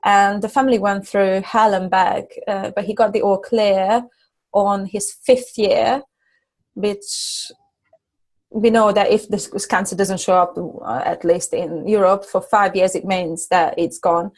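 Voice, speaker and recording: A middle-aged woman from Hungary with a Hungarian accent, talking earnestly as a guest business coach, halting and restarting often. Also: mid-range voice, steady tone, darkish tone. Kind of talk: casual interview chat.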